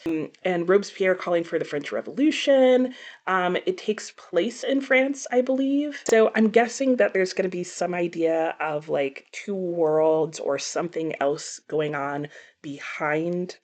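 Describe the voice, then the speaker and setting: A woman talking casually as a book reviewer, conversational, with animated asides and self-corrections. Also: on the low side. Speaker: book reviewer presenting a book haul. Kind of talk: casual chat to camera.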